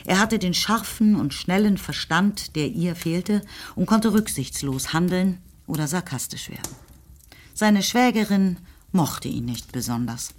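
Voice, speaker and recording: A woman narrating a radio play in a calm, measured, lightly ironic way. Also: on the low side. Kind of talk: scripted narration.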